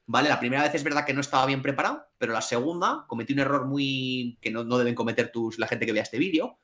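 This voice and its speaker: A man's voice: medium pitch, fast talker, fairly bright tone. A man with a Spanish accent from Spain, a finance exam trainer, answering interview questions in a frank, colloquial way with mild swearing. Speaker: CFA trainer and academy director.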